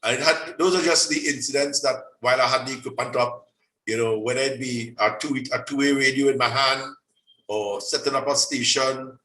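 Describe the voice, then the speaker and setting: Bright-voiced host in an echoey room. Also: male voice, mid-range voice, recorded from a distance. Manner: chatty, hands over the floor. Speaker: host of a radio club meeting. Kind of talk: hosting an online meeting. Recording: echoey room, voice from away from the mic.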